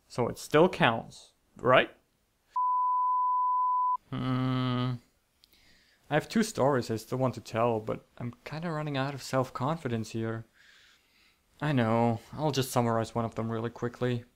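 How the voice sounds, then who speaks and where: A young man narrating scripted comic stories in a theatrical, mock-epic manner, with self-deprecating asides. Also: mid-range voice. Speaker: online storyteller.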